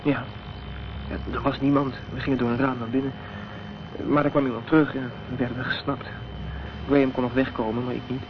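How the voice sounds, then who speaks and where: An actor playing an injured, guarded young activist in a Dutch radio drama, speaking hesitantly and evasively. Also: male voice, mid-range voice, level tone, brisk, natural voice. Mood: guarded, weary. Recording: dull, noisy old radio recording.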